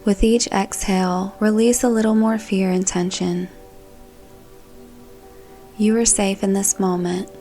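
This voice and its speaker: A woman's voice: mid-range voice, measured pace, pauses often. Woman guiding meditation over music. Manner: calm, gentle, soothing delivery. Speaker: meditation guide. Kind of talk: guided meditation narration, scripted. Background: background music.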